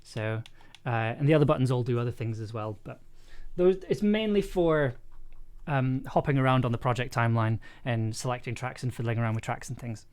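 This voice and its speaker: A man chatting casually on a livestream as he works, enthusiastic but halting, repeating words and letting thoughts trail off. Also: mid-range voice, a little mellow, clear, resonant tone. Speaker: audio drama maker livestreaming an editing session. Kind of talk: casual livestream chat while working.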